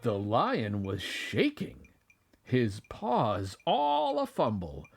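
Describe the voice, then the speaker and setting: A man narrating a children's bedtime story expressively, with dramatic, drawn-out phrasing. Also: medium pitch, wildly swooping pitch, gravelly, a little hiss or hum. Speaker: parent reading a bedtime story. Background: music and added sound effects.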